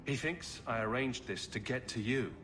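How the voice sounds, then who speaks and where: A man voicing a confident male hero who speaks calmly and teases dryly. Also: mid-range voice, normal speed, close to an everyday voice. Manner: calm, dryly teasing. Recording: game cutscene with music behind.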